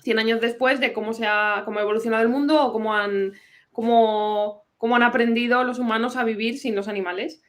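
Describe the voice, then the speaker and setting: A woman from Madrid speaks as a guest on a book podcast, relaxed and chatty, and often laughs lightly. Her voice sits a little higher than most women's. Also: fairly quick. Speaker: guest, historian and novelist. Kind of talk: casual interview.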